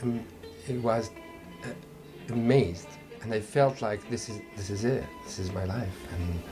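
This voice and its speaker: Low-voiced man over music. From Iraq. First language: Arabic. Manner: halting, repeats words, non-native grammar. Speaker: musician interviewee. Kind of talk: reflective personal interview. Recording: music playing underneath.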